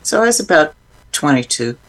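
A woman's voice: low-pitched, sing-song, brisk, a bit bright. A woman speaking as an interview guest, explaining informally and with emphasis in a reflective, conversational way.

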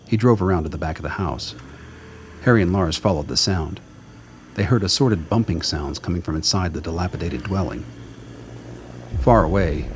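A man's voice: deep voice, a little hiss or hum. A man reading scripted narration for a radio drama.

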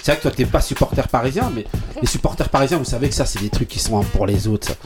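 A man hosting a casual football chat, brisk and teasing as he passes the word around. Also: mid-range voice, animated, over music. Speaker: host.

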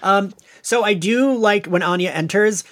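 A man's voice: high-pitched, a little slow, a bit bright. A man casually recapping an episode on a podcast, giggly and a bit meandering as he talks. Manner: giggly, prone to laughing; meandering, hesitant. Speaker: podcast host.

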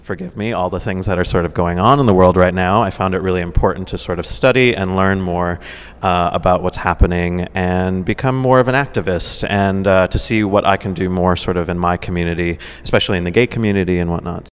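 A man's voice: low voice, fairly even intonation, brisk, clean tone. A man in his twenties, a musical theater teacher, talking easily as a guest with many "uh" fillers. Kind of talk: casual interview chat. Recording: reverberant hall.

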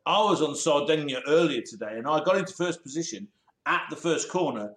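High, animated jokey man. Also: fairly quick, slight background noise. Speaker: podcast co-host. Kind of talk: casual banter. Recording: echoey room.

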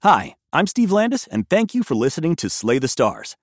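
A man's voice: on the high side, sing-song, moderate pace, no echo, clean studio sound. A man, a guest voice actor, giving a plain, friendly-worded podcast sign-off about himself.

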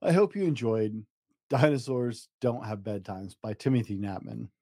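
A man's voice: lowish voice, normal speed, dark tone, no echo, studio quality. A man hosting a children's story podcast, reading scripted introductions and narration for young listeners. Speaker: podcast host and story reader.

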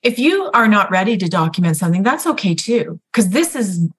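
A woman's voice: mid-range voice, sing-song, a little fast, a little hiss or hum. A woman who is a nurse practitioner and healthcare ethicist, explaining things as a guest in a chatty way, with frequent fillers and restarts. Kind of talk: explanatory conversational guest talk.

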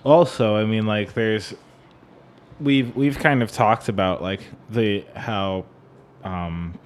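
A young American man co-hosting a podcast, talking casually about music in a halting, hedging way. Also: lowish voice. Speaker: podcast co-host.

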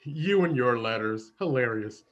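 A man's voice: mid-range voice, animated, moderate pace, muffled recording. A man acting out improvised comedy scenes in a casual, conversational style.

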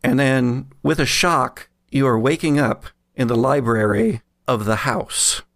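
Male game master for horror tabletop play: gravelly fry, unhurried halting narration, suspenseful pauses, very dry recording. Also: mid-range voice, fairly bright tone, no echo.

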